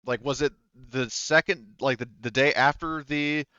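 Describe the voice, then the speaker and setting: A man casually running a tabletop roleplaying game as game master, halting and thinking aloud as he goes. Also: mid-range voice, fairly even intonation, bright, forceful tone, clear, resonant tone, dry recording, lo-fi sound.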